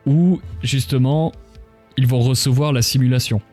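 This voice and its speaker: A man's voice: medium pitch, fairly even intonation, normal speed, warm tone, soft background music. A man hosting a casual live-stream interview in an informal, joking way. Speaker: interview host.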